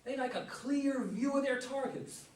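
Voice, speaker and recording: A man's voice: very high voice, unhurried. A man acting a feisty older rural American in a play reading, folksy and exclamatory, with an American accent. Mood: indignant, grumbling. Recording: distant mic in echoey room.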